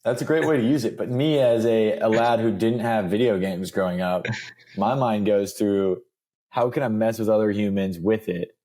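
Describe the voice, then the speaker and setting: A man's voice: on the low side, steady tone, normal speed, warm tone. A young man co-hosting a podcast, chatting in a loose, upbeat way and leaning on filler words. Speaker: podcast co-host. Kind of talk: casual chat.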